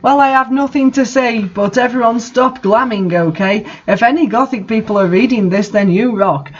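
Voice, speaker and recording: A man's voice: extremely high pitch, moderate pace, muffled recording, voice pushed up. A man voicing a gushing, melodramatic, slangy teenage goth girl in a storybook reading.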